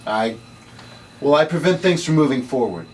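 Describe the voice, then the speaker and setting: A man's voice: medium pitch, animated, normal speed, lightly acted. Guy as mysterious occult guardian dude, matter of fact, noir script read, mic far off, light noise. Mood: cool, explanatory. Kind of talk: table-read drama. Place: room at a script table read. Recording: distant mic, faint background noise.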